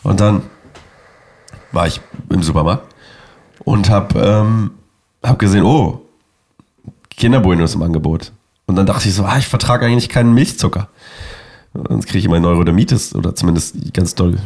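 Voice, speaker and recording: A hoarse, sick man in his thirties co-hosts a casual podcast, rambling and cracking jokes. His low voice is gravelly and rough, worn down by illness. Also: moderate pace, long pauses, a little mellow. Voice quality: raspy, gravelly. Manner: joking, rambling. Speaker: podcast co-host. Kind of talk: casual chat.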